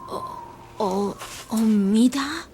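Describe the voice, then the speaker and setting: Halting, wary alien castaway, very slow. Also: female voice, fairly high pitch, dark tone. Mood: tentative. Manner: halting single foreign words and wordless murmurs. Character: frightened, exhausted alien woman, wary lone castaway. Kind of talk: 1960s television drama acting. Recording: old TV soundtrack with music underneath.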